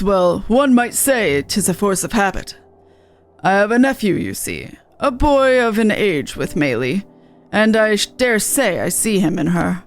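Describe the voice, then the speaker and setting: A woman voicing a dignified older nobleman in a video game, earnest and formal with archaic phrasing. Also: mid-range voice. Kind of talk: amateur game dialogue read-aloud.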